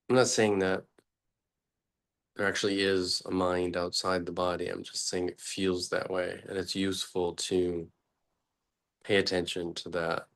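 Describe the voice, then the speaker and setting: A man leading an unscripted guided meditation as a teacher, speaking haltingly in short phrases with long pauses between them. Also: sits low, creaky, a bit bright.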